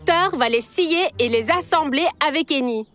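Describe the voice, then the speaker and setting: Eager young boy, high-pitched. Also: lively intonation, moderate pace, a bit bright. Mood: confident, instructing. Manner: confident, explaining and giving orders. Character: resourceful, eager young boy leading a team. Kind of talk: animated children's cartoon dub.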